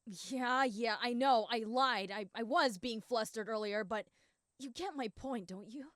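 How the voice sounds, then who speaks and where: A woman playing a proud, competitive schoolgirl rival in a romantic roleplay, speaking in an earnest, blunt, halting and easily flustered way. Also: a little high, a bit bright, no room sound, studio quality. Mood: flustered, tender. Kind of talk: roleplay audio acting addressed to the listener.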